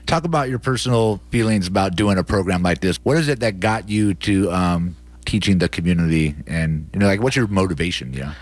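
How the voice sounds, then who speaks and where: A man's voice: low-pitched, lively intonation, fairly quick, hardly any pauses, dry recording. A man hosting a radio interview, asking informal, conversational questions.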